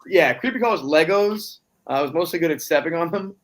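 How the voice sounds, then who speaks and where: A man's voice: a little high, lively intonation, a little fast, fairly bright tone. A man in his late thirties, a guest cosplayer telling rambling, easygoing stories in casual podcast chat. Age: 30s.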